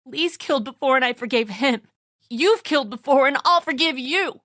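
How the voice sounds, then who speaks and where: A woman's voice: high voice, expressive, normal speed, bright, forceful tone, dry recording, clean studio sound. An actress playing a tense, suspicious woman survivor of a zombie apocalypse in a video game, blunt and accusatory in manner. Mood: desperate, pleading. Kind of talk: dramatic video game acting.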